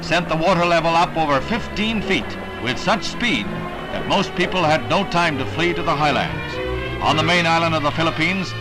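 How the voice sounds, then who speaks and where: American guy doing old 60s newsreel narration, kinda high voice, dramatic and formal, music blaring behind him. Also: low-quality recording. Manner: dramatic, formal announcer delivery. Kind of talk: 1960s newsreel narration, scripted. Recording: vintage newsreel soundtrack, loud music behind.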